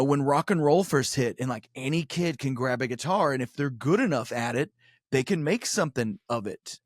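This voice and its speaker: A man's voice: mid-range voice, no echo, studio quality. A man chatting casually as a podcast guest, rambling and often doubling back on his words. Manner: rambling, halting with repeated words, swears casually. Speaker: podcast guest and co-host of his own show. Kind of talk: casual conversation.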